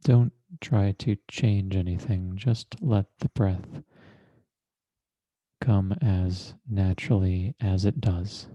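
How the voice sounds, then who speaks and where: A man leading a guided meditation as a teacher, speaking in a deliberate, measured way with long pauses and formal, precise wording. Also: deep voice, fairly even intonation, mellow, no room sound.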